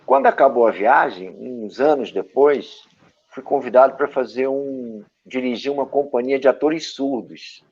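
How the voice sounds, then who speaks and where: Brazilian actor, male, mid-pitched, animated storyteller, dull lo-fi sound. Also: a little slow, husky. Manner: lively anecdotal, frequent "né?" tags. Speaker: actor and director, guest. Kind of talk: storytelling interview answers. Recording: dull lo-fi audio.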